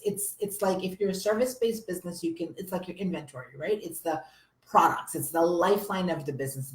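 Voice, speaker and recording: A hoarse woman with a summer cold, a business coach chatting energetically to viewers on a live stream. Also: on the low side, reverberant, rough recording. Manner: energetic, chatty, addresses viewers as you guys.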